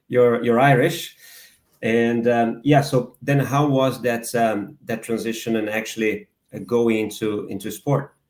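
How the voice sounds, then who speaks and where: A male host feels his way through his questions, stopping and starting and filling the gaps with hesitations. His voice sits at an ordinary middle pitch. The space around him has a clear echo. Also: a little mellow. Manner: halting, hesitant phrasing with many fillers. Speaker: podcast host. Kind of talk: interview questions. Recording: echoey room.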